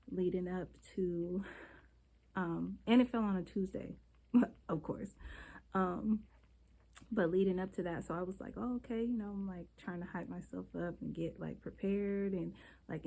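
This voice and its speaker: A woman's voice: mid-range voice, creaky, warm tone, rough recording. A woman vlogger chatting casually and candidly straight to her viewers, stuffy from a cold, rambling from one thought to the next. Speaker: beauty vlogger.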